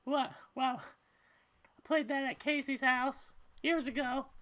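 A woman's voice: sits high, steady tone, slow, fairly bright tone. A woman voicing a weary, troubled young female cat in a story video game, talking in a hesitant, conversational way. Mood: tired, casual. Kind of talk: amateur voiced playthrough of a story game.

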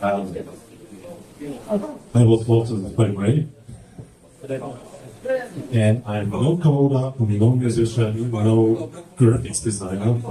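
A middle-aged man with a German accent, presenting slides at a conference in halting English with many fillers. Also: fairly low pitch, animated, crowd noise. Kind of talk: presenting slides at a tech talk. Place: large conference hall with projector. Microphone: handheld mic. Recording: echoey hall, mic at distance.

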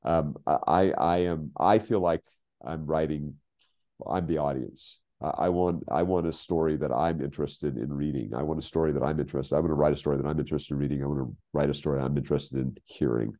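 Very deep, mellow older man. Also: fairly even intonation. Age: 60s. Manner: halting, repeats phrases for emphasis. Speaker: guest, writer. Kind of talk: reflective interview conversation. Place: home room with bookshelves, on a video call. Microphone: video call.

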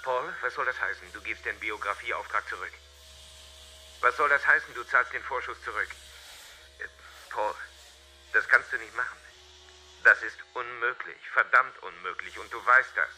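Insistent, sharp-voiced literary agent. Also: male voice, medium pitch, normal speed, slight background noise, low-quality recording. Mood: indignant. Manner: insistent, emphatic. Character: pushy, excitable literary agent, a man. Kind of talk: German radio play acting, phone messages.

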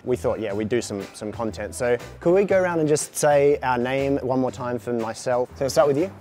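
A man's voice: mid-range voice, fairly quick, darkish tone, soft background music. A man in his thirties coaching a beginner climbing class in a large indoor gym, explaining and encouraging.

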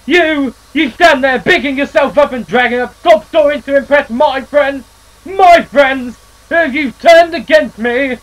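Man putting on a prim fussy steam engine, very high and loud, touchy and jealous. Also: measured pace, low-quality recording. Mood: furious. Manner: prim, fussy, plummy phrasing.

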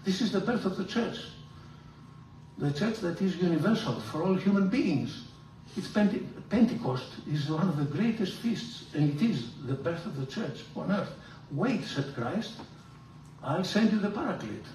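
An older man, a priest, giving a theology lecture in an animated, emphatic way, filmed from across a large room. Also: measured pace, slight background noise. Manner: animated, gesturing, emphatic rises in pitch. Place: large room with hard walls. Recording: roomy hall echo, from far off.

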